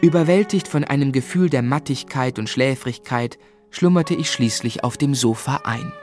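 Man acting a reflective first-person storyteller, medium pitch, measured, descriptive, faint music behind. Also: darkish tone, lightly acted. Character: reflective grown man narrating his own boyhood. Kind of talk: scripted literary narration.

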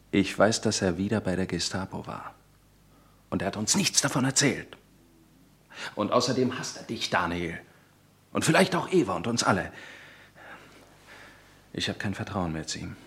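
Brief: low male voice, a steady, careful underground leader. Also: vocal fry, exaggerated voice. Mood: firm. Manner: firm and measured. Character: calm, cautious leader of a resistance group. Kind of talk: radio play acting.

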